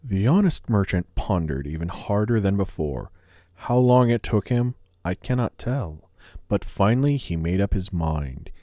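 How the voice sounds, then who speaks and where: A man narrating a fairy tale as a storyteller, measured and solemn. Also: fairly low pitch, animated, warm tone, no echo, studio quality.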